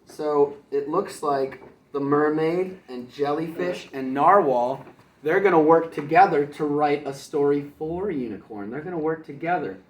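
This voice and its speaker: A man's voice: a little high, a little slow, distant mic. Echoey male teacher reading a children's storybook. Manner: explains the story in simple words for children. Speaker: kindergarten teacher. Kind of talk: animated storybook read-aloud for children.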